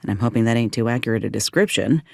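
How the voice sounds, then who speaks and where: A woman's voice: rumbling low, rapid-fire, creaky, a little mellow, dry recording, studio quality, heavily acted. An actress playing a frank, caring young woman soldier in a game, earnest and plain-spoken with folksy turns of phrase. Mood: curious, friendly. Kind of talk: video game companion dialogue.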